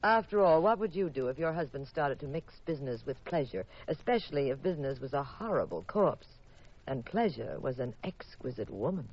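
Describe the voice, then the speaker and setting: An actress playing a witty, sociable detective's wife in a 1950s radio drama. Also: female voice, rumbling low, expressive, a little fast, breathy edge, heavily acted. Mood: arch, dramatic. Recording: muffled vintage radio recording.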